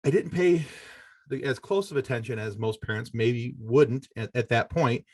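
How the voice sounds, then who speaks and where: A man's voice: medium pitch, normal speed, darkish tone, no echo. Middle-aged American man in dry close sound. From Michigan, United States. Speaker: podcast host appearing as interview guest. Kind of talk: conversational interview answers.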